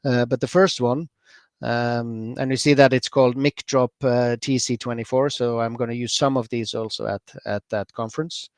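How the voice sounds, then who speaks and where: A man from Norway with a Norwegian accent, chatting through a software demo at a user group, relaxed, with lots of fillers and self-corrections. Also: mid-range voice, normal speed, no echo, clean studio sound. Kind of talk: screen-share software demo.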